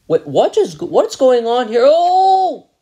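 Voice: high voice, big pitch swings, slow, mellow, breathy edge, exaggerated voice. A performer voicing frightened cartoon characters who shriek and ask frantically what is going on. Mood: panicked. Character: panicked trapped cartoon townsfolk. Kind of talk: homemade cartoon voice acting.

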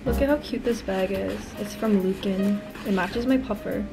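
A young Canadian woman talks brightly to her camera in a casual vlog, full of likes and little asides. Her voice sits at an ordinary middle pitch, held close to the lens. Soft background music runs steadily under her words. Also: moderate pace, rough recording. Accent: Canadian English. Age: 20s. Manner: chatty, upbeat, filler-heavy. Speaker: vlogger. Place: indoors and outdoors, hotel room and rooftop. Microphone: handheld camera close to face.

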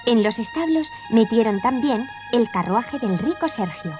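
A woman tells a children's story clearly, with a lively, wide-ranging pitch. Faint music sits under her narration on the old cassette. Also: moderate pace.

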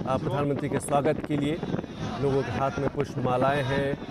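A man's voice: medium pitch, flat delivery, fairly quick, heavy background noise. A man narrating a live news broadcast of a roadshow, talking urgently and repeating himself with fillers. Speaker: TV news reporter. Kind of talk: live news commentary over roadshow footage. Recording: loud crowd cheering and music behind. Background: crowd chanting and music.

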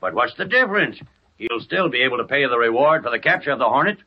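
An actor playing an impatient city editor in old-time American radio style, barking slangy lines theatrically. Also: male voice, mid-range voice, expressive, fast, a little hiss or hum, close to an everyday voice. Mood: insistent. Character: brash, excitable, impatient newspaper city editor. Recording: muffled vintage radio recording.

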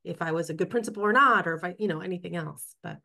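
Woman from new york cohosting a webinar, a bit low voice, thoughtful, video call mic. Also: quick pace, gravelly. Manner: reflective, warm, reads chat comments aloud. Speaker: webinar co-host, former principal. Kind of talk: conversational webinar discussion.

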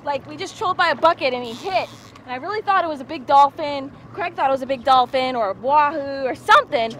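A woman in her thirties from Florida giving excited fishing commentary to the camera from an open boat at sea, bubbly and exclamatory. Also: high-pitched, quick pace, slight background noise. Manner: bubbly, exclamatory, talks to viewers as guys. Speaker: fishing vlogger. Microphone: action camera held close.

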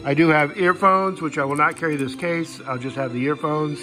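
Male hiker, slightly high voice, folksy and matter-of-fact, talking through gear off the cuff at home into a phone. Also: steady tone, fairly quick, bright, forceful tone, soft background music, low-quality recording. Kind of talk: casual unscripted gear walkthrough. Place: indoors in a home living room. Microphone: handheld phone camera, speaker behind it.

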